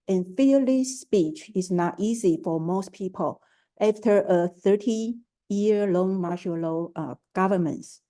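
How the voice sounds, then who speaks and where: A middle-aged woman from Taiwan, speaking with a Taiwanese accent, gives a careful, halting talk as an artist and curator at an online forum, pausing and restarting often. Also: medium pitch, mellow. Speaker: artist, curator and art educator. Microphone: video call.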